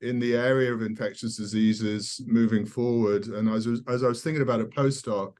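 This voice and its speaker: An older man from South Wales with a softened British accent, speaking as a guest scientist in a conversational, halting, informal way. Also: lowish voice, steady tone. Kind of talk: conversational interview, personal recollection.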